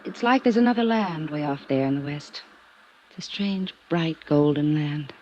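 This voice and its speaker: An actress playing a lonely, troubled wealthy young woman in a 1950s radio drama, wistful and evasive, sharp when pressed. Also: low-pitched, normal speed, slight background noise, lightly acted. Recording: muffled old radio broadcast.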